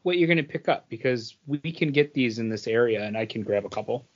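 Mid-pitched man, nerdy explainer, muffled audio. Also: animated, fairly quick. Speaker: podcast co-host. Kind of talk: casual chat, explaining comic and film trivia.

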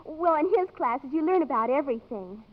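High-pitched, shy college girl. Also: lively intonation, brisk. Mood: admiring. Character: shy, admiring, polite female college student. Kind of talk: 1950s American radio drama acting. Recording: thin, phone-like 1950s radio recording.

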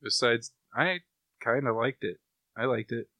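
Casting: male co-host, bright edge, average pitch, very dry sound, informal banter. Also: measured pace, dry recording. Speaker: podcast co-host. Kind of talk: casual chat.

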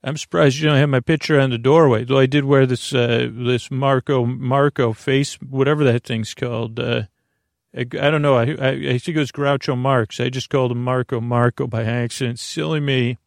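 Male sleep-podcast host, heavy vocal fry, droning, halting, meandering improvised monologue, goofy and self-deprecating, very dry sound. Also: mid-range voice, steady tone, no echo. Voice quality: creaky. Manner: meandering, halting, repetitive, with trailing tangents; drawn-out words, droning. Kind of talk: rambling improvised bedtime monologue.

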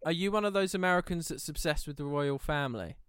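A British man hosting a casual interview, agreeable and quick to laugh. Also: a little high, a crowd in the background. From United Kingdom. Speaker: podcast host.

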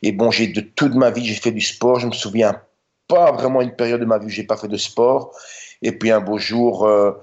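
A man in his fifties with a Belgian French accent and a somewhat low voice talks through his memories in a loose, rambling way, dropping in easy filler tags. The sound is slightly muffled and lo-fi, without much crispness at the top. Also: fairly even intonation, moderate pace, darkish tone. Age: 50s. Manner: rambling, chatty, frequent tag words. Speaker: podcast guest. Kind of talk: casual storytelling interview.

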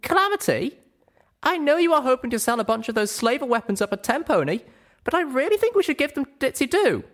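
Man putting on a very high, earnest little mare. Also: big pitch swings, fairly quick, fairly bright tone, full character voice. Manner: high-pitched, pleading, earnest. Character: small young female pony, anxious, earnest. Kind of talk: audiobook character voice.

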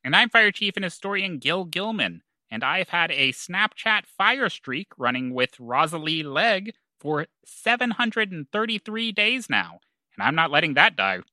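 A man playing an eager, boastful small-town fire chief, animated and emphatic, on a comedy podcast. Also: a little high, unhurried, no echo, full character voice. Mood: eager, proud. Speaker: podcast co-host. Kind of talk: comedy audio drama podcast.

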